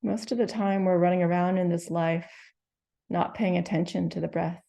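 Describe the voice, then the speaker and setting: Gravelly low-voiced woman guiding meditation. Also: little pitch movement. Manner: slow, gentle, soothing instructions with long pauses. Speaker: meditation teacher. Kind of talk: guided meditation.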